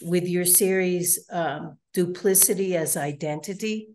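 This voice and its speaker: A woman interviewer asking long, measured questions over a video call from home, often saying "you know". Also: on the low side, darkish tone. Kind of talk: conversational interview.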